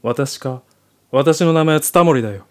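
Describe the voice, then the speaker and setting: Man acting a curt, gruff enemy soldier. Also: on the high side, normal speed, close to an everyday voice. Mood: brusque, flustered. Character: gruff male enemy soldier, secretly soft-hearted. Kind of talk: comic amateur radio drama acting.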